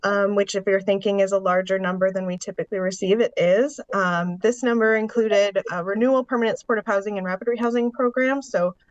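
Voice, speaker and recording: A woman with a congested, under-the-weather voice walks steadily through funding numbers and programs for a county board. Her mid-pitched voice comes over a video call. The sound is dry and dull, like lo-fi call audio. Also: fairly quick, hardly any pauses, dry recording. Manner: steady, explanatory walk-through of figures and programs. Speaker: staff presenter at a county board meeting. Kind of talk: presenting a funding update in a public meeting.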